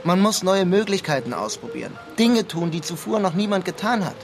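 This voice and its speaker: An actor playing a melancholy young German emigrant painter in New York, understated and wry, in a radio drama. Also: male voice, high voice, animated, a little fast, creaky, soft background music. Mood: intense. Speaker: protagonist and narrator of a radio crime drama. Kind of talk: German radio drama, introspective narration and dialogue.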